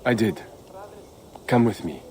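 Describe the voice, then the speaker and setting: A man's voice: fairly low pitch, lively intonation, a little slow, very noisy. Proud, swooping French nobleman. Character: proud, visionary French nobleman and vineyard owner. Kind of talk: dramatic game cutscene acting.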